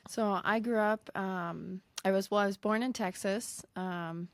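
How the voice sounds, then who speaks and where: Podcast guest, woman, American accent with a Kiwi tinge, medium pitch, halting casual delivery, very dry recording. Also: fairly even intonation, dry recording. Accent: American, slightly mixed with New Zealand. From United States, grew up in Michigan. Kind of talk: casual personal testimony in conversation.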